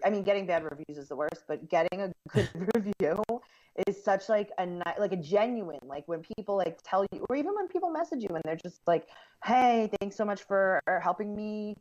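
A woman's voice: mid-range voice, lively intonation, a little fast, a little mellow. Upbeat lady comic chatting on a podcast, kinda muffled remote audio. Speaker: comedian and podcast guest. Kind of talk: casual podcast chat.

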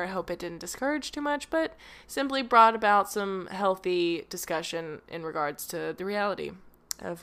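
A woman's voice: fairly low pitch, a bit bright, clear, resonant tone. A young woman hosting her own podcast from home, rambling casually with lots of fillers and self-deprecating asides, drifting on and off her microphone. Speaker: podcast host. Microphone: home microphone, drifting away from it.

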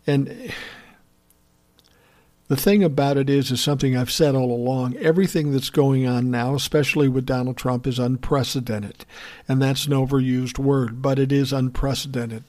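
A man's voice: medium pitch, darkish tone. A man hosting a political podcast, giving blunt, profane opinion commentary and answering listener messages in a conversational way.

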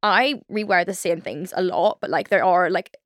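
A woman's voice: lowish voice, moderate pace, dry recording, studio quality. A young woman in her twenties with a Belfast accent, hosting a casual solo podcast, chatty and rambling with lots of "like" and self-mocking asides. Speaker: podcast host.

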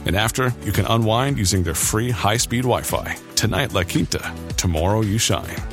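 Lowish-voiced man reading an advert. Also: moderate pace. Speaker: advert voice-over. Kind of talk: scripted upbeat sponsor read. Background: faint music.

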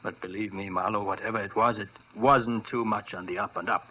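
A man's voice: on the low side, steady tone, fairly quick, bright, forceful tone, slightly rough. An actor playing a worried, earnest older male doctor who talks hesitantly, in 1940s radio style. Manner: earnest and hesitant, full of uh's. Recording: dull old radio recording.

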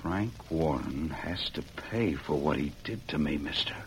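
An actor playing a wronged young cowboy ex-convict in a vintage radio western, speaking plainly and earnestly. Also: male voice, rumbling low, animated, measured pace, full character voice. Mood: bitter, earnest. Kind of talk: 1940s-50s radio western acting. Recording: muffled, hissy old radio recording.

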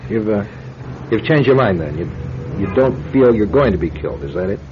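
Actor as a hesitant, polite policeman, lively intonation. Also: on the low side, a little fast, natural voice. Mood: polite, puzzled. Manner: hesitant, deferential, stammering. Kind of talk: old-time radio drama acting. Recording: dull, noisy old radio drama recording.

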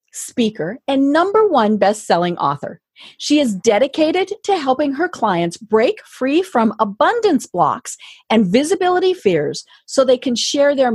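A woman hosts a conversational interview show with gushing enthusiasm. Her voice is high and slightly husky, swinging up and down in a lively way. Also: dry recording. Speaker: podcast host.

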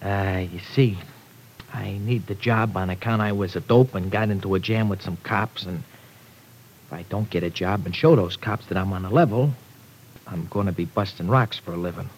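An actor playing a young, wayward streetwise man with rough, slangy, humble talk in an old radio drama. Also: moderate pace. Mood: awkward, pleading. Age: young adult. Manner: slangy, ungrammatical tough-kid talk. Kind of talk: 1940s radio drama acting. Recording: noisy old radio recording with rumble.

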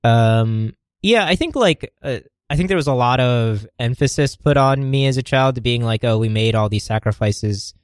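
A man's voice: medium pitch, normal speed, few pauses, clear, resonant tone, no room sound, clean studio sound. A man in his thirties co-hosting a podcast, answering hesitantly as he thinks aloud. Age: 30s. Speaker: podcast co-host. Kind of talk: casual chat. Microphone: studio mic.

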